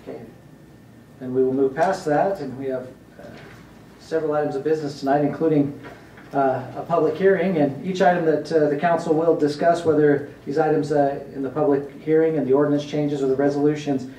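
A man chairing a council meeting in formal procedural language, speaking haltingly with many pauses. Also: fairly even intonation, mellow. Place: large indoor council chamber. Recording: roomy, distant council chamber pickup, faint rumble.

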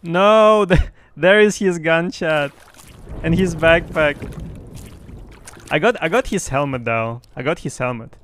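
Excited young man streaming, Russian native. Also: a little high, slow, pauses often, slight background noise. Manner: excited, boastful, talks to chat. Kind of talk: live gameplay commentary. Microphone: headset.

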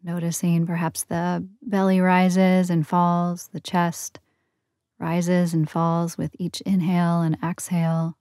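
A woman leading a guided meditation in a soothing, gentle, unhurried manner with long pauses between phrases. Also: lowish voice, monotone, no room sound, studio quality. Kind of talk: guided yoga nidra meditation.

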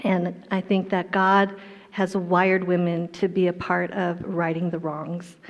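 A woman's voice: lowish voice, level tone, a little slow. A middle-aged woman from Colorado giving a personal testimony talk on stage, with a storytelling manner and self-deprecating humor. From Colorado, USA. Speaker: guest speaker. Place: large indoor hall stage. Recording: dull, echoey hall sound.

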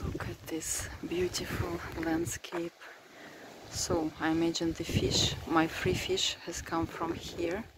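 A woman's voice: fairly low pitch, flat delivery, takes it slowly. A woman with a Russian accent, chatting casually and exclaiming with enthusiasm as a travel vlogger on a handheld phone. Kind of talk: casual chat and vlog narration. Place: outdoors by the sea and in a car. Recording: noisy outdoor and car phone recording.

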